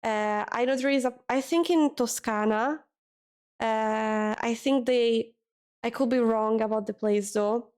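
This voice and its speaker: A woman streamer, chatting casually with her viewers, often pausing and correcting herself as she hunts for words. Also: fairly high pitch, steady tone, darkish tone, clean tone. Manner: hesitant, searching for words, self-correcting. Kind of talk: casual chat with chat readers.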